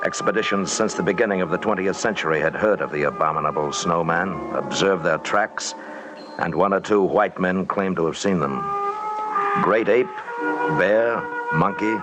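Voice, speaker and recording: An actor playing an earnest, businesslike expedition leader who narrates and talks in an old-time radio drama. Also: male voice, deep voice, normal speed, over music, close to an everyday voice, poor audio. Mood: dramatic narrating.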